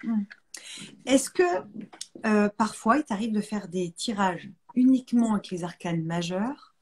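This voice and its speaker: A woman's voice: mid-range voice, measured pace, a little mellow, slightly rough. A woman hosting a casual live chat, talking informally and repeating words for emphasis. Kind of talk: casual conversational interview.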